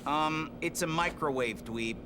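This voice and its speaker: An actor voicing a hot-headed, sarcastic teenage turtle brawler in an animated game, gruff and streetwise. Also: male voice, fairly high pitch, measured pace, noisy background, natural voice. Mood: impatient. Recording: music behind the voice.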